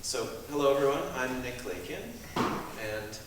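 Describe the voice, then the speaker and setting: A man speaking informally as a panelist, halting and thinking aloud in short pieces. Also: medium pitch, expressive. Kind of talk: informal, unscripted talk to an audience. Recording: very echoey hall, distant mic, noisy.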